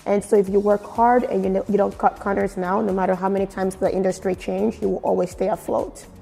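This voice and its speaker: A woman from Nigeria with a Nigerian accent mixed with British and American, giving expressive, emphatic answers in a casual interview. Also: medium pitch, fairly quick, gravelly. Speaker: fashion blogger being interviewed. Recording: very echoey room with music. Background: music.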